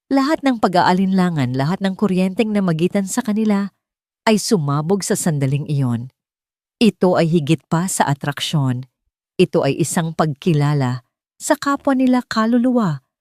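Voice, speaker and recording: A woman with a Filipino accent narrates a scripted romantic drama as an audiobook. Her voice sits a bit low for a woman. The recording is very dry, with no room sound around her. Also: darkish tone, no room sound.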